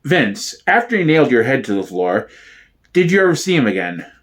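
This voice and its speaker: Guy doing serious tv interviewer, measured and inquiring, comedy sketch documentary. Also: medium pitch, very animated, a bit bright, voice pushed down. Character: earnest television documentary interviewer probing gangland witnesses. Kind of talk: mock documentary comedy reading.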